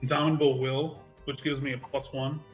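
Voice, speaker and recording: A man's voice: mid-range voice, level tone, fairly quick, highs cut off, soft background music. A man talking casually as a player in an online tabletop game, rambling and restarting his sentences. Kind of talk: casual chat during an online game session.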